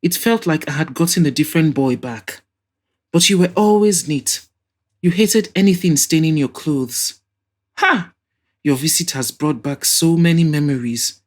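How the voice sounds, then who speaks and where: A woman's voice: low voice, animated, measured pace. A woman voicing an effusive, nostalgic older mother and hostess in an audio drama. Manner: effusive, exclaiming, reminiscing.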